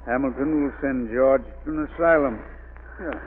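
Actor as folksy, vengeful radio villain, tinny sound. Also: male voice, mid-range voice, moderate pace, close to an everyday voice. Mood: gloating. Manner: folksy, rough grammar. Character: vengeful, menacing murderer with a pet wolf. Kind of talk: 1940s radio villain acting. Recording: noisy, phone-like old radio recording.

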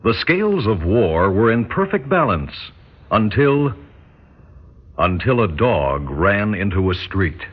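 A man's voice: on the low side, sing-song, slow, background noise. Solemn male storyteller, portentous and rhetorical, widely swinging intonation, dull old radio drama recording. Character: grave, portentous male storyteller pondering war. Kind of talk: 1960s radio drama scripted narration.

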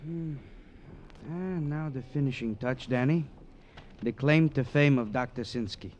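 A man's voice: medium pitch, a little slow, a little mellow, close to an everyday voice. Man as a fussy doctor, muffled old recording. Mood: self-satisfied. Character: fussy, self-satisfied male police doctor. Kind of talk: old-time radio drama acting. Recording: dull, noisy old radio recording.

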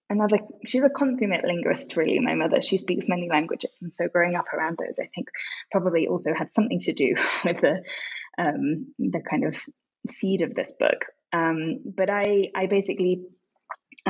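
A woman's voice: on the low side, husky, highs cut off. A woman in her thirties, an author and translator, answering interview questions thoughtfully with frequent ums and restarts. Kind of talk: podcast interview.

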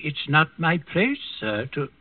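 An actor playing an elderly manservant, deferential yet quietly resentful, in a 1940s radio drama. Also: male voice, sing-song, measured pace, no echo, narrow, tinny audio. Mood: guarded, servile. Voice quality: high, husky. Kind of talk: 1940s radio acting with narration. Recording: thin old radio recording, music underneath.

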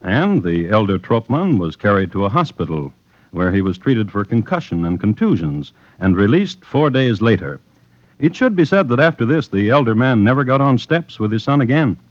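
A man narrating true crime as a radio host in a deliberate, dry, wry storytelling style from mid-century radio. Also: on the low side, sing-song, no echo. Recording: muffled vintage radio, music behind.

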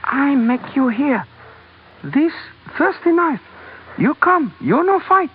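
High menacing guard w/ knife, clipped pidgin english, tinny noisy old radio recording. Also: male voice, sing-song, takes it slowly, full character voice. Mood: curt menace. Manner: broken, clipped pidgin phrases. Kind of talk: old radio drama acting.

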